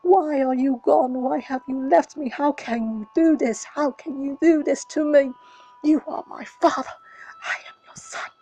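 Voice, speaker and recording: Woman voicing haunted grieving son, pleading, whispered cries, raised pitch, warm tone. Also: slow pace, long pauses, breathy edge, heavily acted. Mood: anguished. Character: grief-stricken young son, desperate and haunted.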